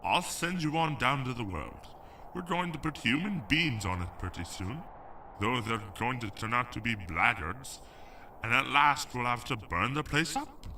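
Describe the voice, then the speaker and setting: A man's voice: medium pitch, animated, moderate pace, pushed and bright, recorded from a distance, slight background noise. A man playing a stern, grand archangel passing judgment with authority in an audio drama. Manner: pronouncing, authoritative. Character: stern male archangel, grand, judging, grudgingly fair.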